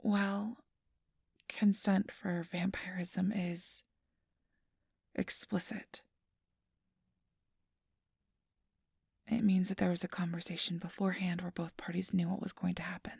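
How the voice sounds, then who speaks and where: A woman playing a kindly, apologetic registration clerk in an ASMR roleplay, speaking softly and often in a whisper with a gentle, reassuring manner. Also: lowish voice, flat delivery, unhurried, no room sound, studio quality, exaggerated voice. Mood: gentle, careful. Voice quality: soft, breathy, often whispered. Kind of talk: ASMR roleplay, soft whispery speech to the listener.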